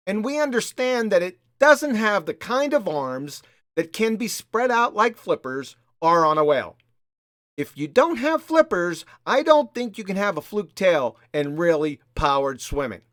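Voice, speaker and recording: A man hosts a live commentary show in a mocking, emphatic way. His pitch swings widely and he repeats short phrases for punch. The sound is close and dry. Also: a bit bright, no room sound. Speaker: host of a live debunking show.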